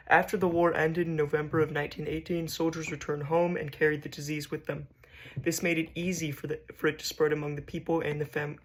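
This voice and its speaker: A man's voice: fairly high pitch, a bit bright. A man reading a scripted narration aloud as a student presenting a school project, sometimes stumbling over and repeating words.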